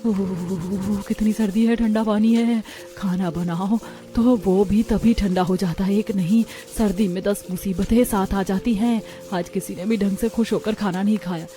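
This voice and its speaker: A woman's voice: medium pitch, steady tone, unhurried, hardly any pauses, mellow, faint music behind, lightly acted. A woman voicing a hardworking, patient young daughter-in-law in a narrated story. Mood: weary. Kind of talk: acted dialogue in a narrated story.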